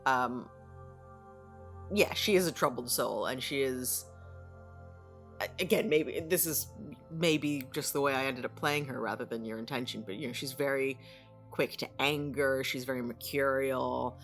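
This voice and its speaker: A woman's voice: extremely low pitch, measured pace, faint music behind. A woman who acts in audio drama, chatting casually as co-host of a behind-the-scenes podcast.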